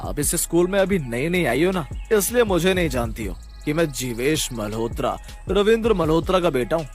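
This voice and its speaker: A man voicing a cocky, spoiled high-school student in a cartoon story, taunting and swaggering. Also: sing-song, moderate pace, soft background music. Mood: arrogant, defiant. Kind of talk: animated story character acting.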